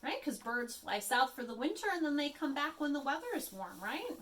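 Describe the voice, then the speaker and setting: Friendly children's librarian reading aloud. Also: female voice, high voice, big pitch swings, brisk, fairly bright tone. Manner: friendly, chatty asides to kids. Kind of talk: picture-book read-aloud. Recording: voice a bit far from the mic.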